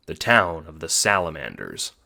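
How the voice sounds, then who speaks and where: A man's voice: extremely low pitch, very animated, moderate pace, vocal fry, noisy background. A man reading scripted second-person narration for an audiobook-style drama.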